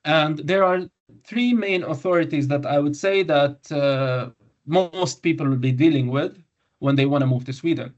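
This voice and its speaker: Young Syrian man presenting a webinar, muffled. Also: fairly high pitch. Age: 20s. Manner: halting, many fillers and self-corrections. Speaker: doctor giving a webinar. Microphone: video call microphone.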